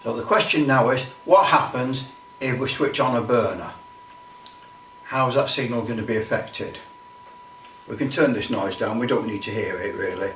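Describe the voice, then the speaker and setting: A man's voice: medium pitch, normal speed, a bit bright, slight background noise. A man giving an informal step-by-step demonstration, explaining as he goes and often repeating himself. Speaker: presenter of a hobby demonstration. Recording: roomy echo, distant microphone.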